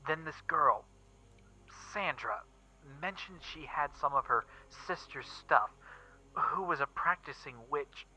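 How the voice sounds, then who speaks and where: A man playing a shaken young witness recounting an occult horror in a first-person story reading, halting and emphatic, with stammers and repeats. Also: a little high, pushed and bright, husky, exaggerated voice. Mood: uneasy, haunted. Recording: muffled recording with background music. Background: eerie background music.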